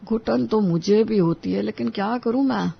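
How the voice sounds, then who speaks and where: A man's voice: high voice, moderate pace, gravelly, husky, heavily acted. Man as a resigned young husband, muffled audio. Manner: resigned, lamenting. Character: weary young husband, oppressed by his strict father. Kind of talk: Hindi moral-story audio drama.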